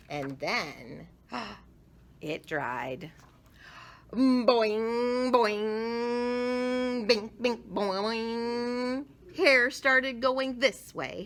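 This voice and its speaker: A woman reading a picture book aloud to children in a theatrical way, with dramatic emphasis and drawn-out words. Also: fairly high pitch, bright, forceful tone. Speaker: children's story reader.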